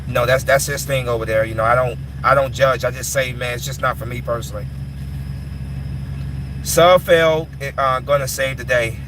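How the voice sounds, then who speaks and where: A man's voice: mid-range voice, normal speed, noisy background. A man in his twenties answering chat questions in casual talk, often repeating and restarting his words. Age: 20s. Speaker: live stream host answering chat.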